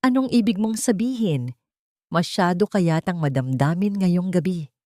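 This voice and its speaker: A woman's voice: lowish voice, expressive, moderate pace, mellow, no echo, clean studio sound, natural voice. A woman with a Filipino accent voicing a villainous older mother-in-law, imperious and scolding. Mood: haughty, suspicious.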